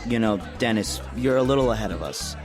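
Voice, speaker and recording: Actor as businesslike tech chief, animated intonation. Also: male voice, mid-range voice, a little fast, music in the background, crowd noise, lightly acted. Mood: polite, guarded. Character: guarded, businesslike male internet company chief. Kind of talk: audio drama acting.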